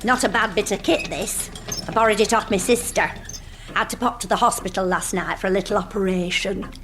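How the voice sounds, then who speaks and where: An actress playing a blunt, bossy gift shop worker with a Northern English accent, grumbling and scolding in a radio sitcom. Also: female voice, medium pitch, steady tone, fairly quick, background noise, close to an everyday voice. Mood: matter-of-fact.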